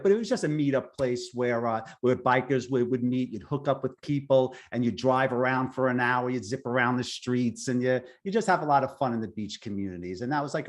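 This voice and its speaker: A middle-aged man from Long Island with a Long Island accent, a guest speaker telling his own story haltingly, with many restarts and fillers. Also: medium pitch. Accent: Long Island New York. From Long Island, New York. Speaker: guest speaker, near-death experiencer. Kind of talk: personal storytelling.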